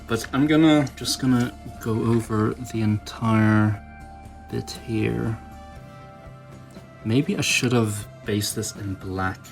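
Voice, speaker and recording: A man's voice: on the low side, takes it slowly, gravelly, faint music behind. A man giving informal, self-deprecating hobby commentary, thinking aloud as he works through a craft project. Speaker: toy customizer, channel host. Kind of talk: casual rambling hobby commentary while painting.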